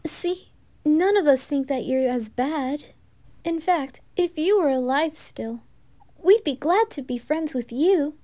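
Woman as gentle, high-voiced young boy adventurer. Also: slow, pauses often. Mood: sincere. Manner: soft, reassuring, earnest. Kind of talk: anime fan roleplay ASMR.

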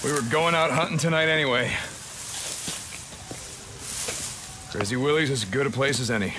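An actor playing a gruff, menacing outlaw biker with a drawling, sardonic way of talking in a video game cutscene. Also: male voice, mid-range voice, quick pace, noisy background, close to an everyday voice. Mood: casual, determined.

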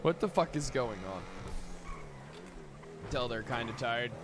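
A man's voice: mid-range voice, unhurried. A man streaming a role-play game, chatting casually with profane, teasing banter. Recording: game car and street noise.

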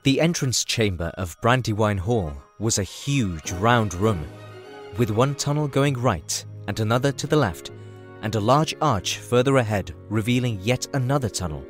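Lowish-voiced male fantasy story narrator. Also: lively intonation, moderate pace, faint music behind.

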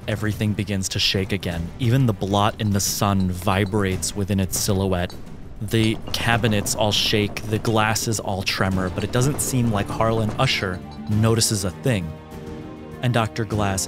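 A man working as game master, narrating a tabletop game dramatically in the second person. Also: fairly low pitch.

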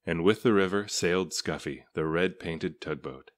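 A man with a low voice narrates a children's storybook. He reads aloud in measured, deliberate phrases. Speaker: storybook reader.

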